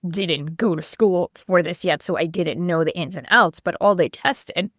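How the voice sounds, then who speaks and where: A woman's voice: lowish voice, moderate pace, dry recording, clean studio sound. A middle-aged woman from Lithuania telling a personal story conversationally as an interview guest, acting out bits of dialogue as she goes. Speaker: podcast guest, clinical nutritionist.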